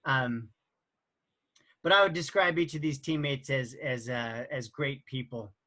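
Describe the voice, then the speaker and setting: A man in his forties, the team captain, introducing his family team in a halting way with many fillers. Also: medium pitch, bright, forceful tone. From Idaho, USA. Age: 40s.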